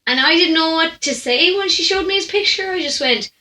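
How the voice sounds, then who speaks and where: A young Irish woman, a podcast host, telling casual comic anecdotes in a chatty, animated way. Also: extremely high pitch, a little fast. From Ireland. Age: young adult. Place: indoor room at home. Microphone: condenser microphone on stand, close. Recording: roomy echo.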